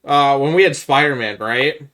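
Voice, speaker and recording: A man's voice: medium pitch, normal speed, fairly bright tone, low-quality recording. Man explaining his point on a podcast. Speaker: podcast co-host. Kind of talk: casual podcast chat.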